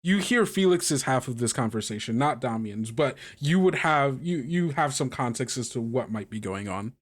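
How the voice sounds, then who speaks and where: A man running a tabletop roleplaying game as game master, improvising narration in a halting, conversational way. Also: mid-range voice, animated.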